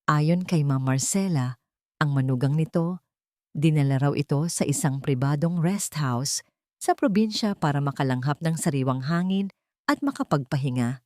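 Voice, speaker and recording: A woman with a Filipino accent narrates a dramatic audio story. Her voice sits low for a woman, and the sound is dry, with very little room on it. The delivery is that of scripted suspense fiction. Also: moderate pace, dark tone, no echo.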